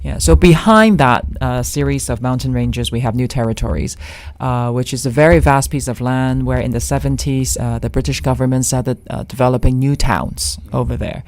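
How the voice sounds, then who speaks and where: A woman from Hong Kong speaks as a guest architect in an interview. Her delivery is conversational and thoughtful, with hesitant pauses, and the recording is very dry with little room sound. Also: rumbling low, darkish tone, no room sound.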